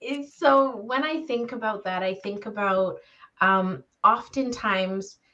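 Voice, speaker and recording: A woman speaking as a panelist in a reflective discussion, with a thoughtful, articulate and deliberate manner. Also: mid-range voice, sharp tone, lo-fi sound.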